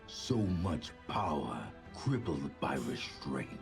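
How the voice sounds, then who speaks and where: Ruthless ninja villain, game voice, extremely low, gravelly, swooping dramatic intonation, ominous, proud. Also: male voice, sing-song, music in the background, exaggerated voice. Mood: cold menace. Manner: ominous, deliberate pronouncements. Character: menacing, ruthless male shadow ninja master, cold and proud. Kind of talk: dramatic video game voice lines.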